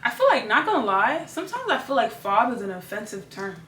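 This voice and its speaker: A woman's voice: on the high side, sing-song, a little fast, a bit bright, far from the mic. A young woman hosting a casual chat, curious and supportive, asking questions. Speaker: host. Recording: echoey room away from mic, faint noise.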